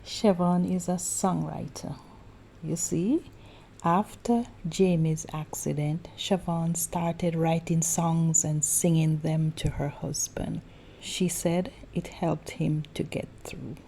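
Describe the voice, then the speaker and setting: Slow, gravelly woman narrating. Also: sits low, fairly even intonation, mellow, slight background noise. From Jamaica. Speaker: vlog host. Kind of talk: scripted narration.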